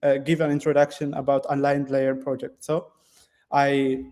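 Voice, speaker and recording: A young man from Ethiopia in his twenties, a guest developer, answering interview questions in a classroom with halting phrasing, many fillers and restarts. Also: medium pitch, completely flat delivery, dark tone. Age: 20s.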